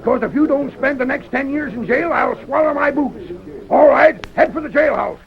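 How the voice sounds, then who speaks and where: A tough frontier sheriff, blustering orders in a very high, gravelly voice with an American accent. An old radio western, muffled. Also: male voice, normal speed. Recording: muffled old radio broadcast, crowd behind.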